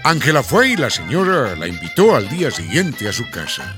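An actor narrating a radio soap opera as its storyteller, in a scripted style. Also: male voice, medium pitch, wildly swooping pitch, normal speed, over music. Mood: storytelling.

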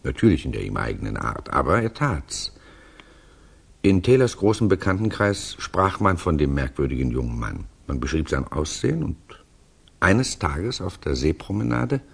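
A knowing storyteller in an old radio play. His low, gravelly voice moves in wide swings as he deliberately lays out a tale. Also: very animated, exaggerated voice. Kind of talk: 1960s German radio drama acting.

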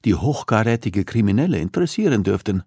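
A man's voice: on the low side, big pitch swings, fast, a little mellow, husky, dry recording, studio quality, full character voice. A man narrating an audiobook, voicing a coolly persuasive, threatening female criminal who interrogates with insistence and condescension.

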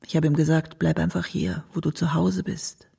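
Husky, subdued elderly mother. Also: sits low, little pitch movement, normal speed, dark tone, full character voice. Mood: subdued, worried. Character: elderly Israeli mother recalling her son, tender and worried. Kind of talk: radio play documentary monologue.

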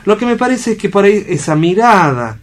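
An Argentine man reflecting aloud as a radio panelist, halting as he thinks and often checking for agreement. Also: sits high, very animated. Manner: thoughtful, halting, seeks agreement with tag questions. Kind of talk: reflective unscripted discussion.

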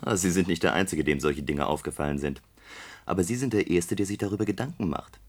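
An actor playing a thoughtful, guarded corporate colleague in a science-fiction radio play, speaking in a measured, hesitant way. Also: male voice, low-pitched. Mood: guarded, pensive.

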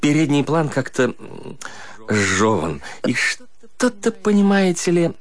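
An actor playing a languid, pompous painter in a radio drama, halting and mannered as a condescending mentor. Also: male voice, a little high, sing-song. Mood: pompous, critical.

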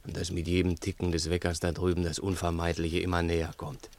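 An actor playing a brooding, taunting, talkative crook in a 1960s German radio play, musing theatrically and condescendingly. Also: male voice, deep voice, completely flat delivery, fairly quick, a little mellow, close to an everyday voice. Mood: grim relish.

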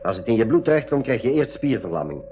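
An actor playing a knowing, cautioning expedition companion, instructive and matter-of-fact in a school radio play. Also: male voice, medium pitch, sing-song, quick pace, noisy background, natural voice. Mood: grave, explanatory. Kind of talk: 1980s Dutch-language school radio play acting. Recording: dull, band-limited 1980s radio recording.

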